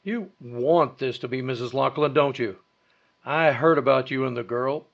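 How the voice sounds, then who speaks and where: A man's voice: medium pitch, moderate pace, gravelly, lightly acted. Man playing dull-sounding, blunt radio-drama detective. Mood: blunt, considering. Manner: terse, matter-of-fact. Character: blunt, dry, fair-minded male police detective lieutenant. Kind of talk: old-time radio drama acting. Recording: dull, lo-fi audio.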